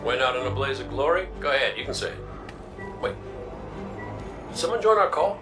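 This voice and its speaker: A guarded, sardonic gunslinger acted by a man with a mid-range voice, sounding a little distant. The delivery is casual and flippant, game dialogue with clear music behind it. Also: medium pitch, sing-song, brisk, natural voice. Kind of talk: video game voice acting.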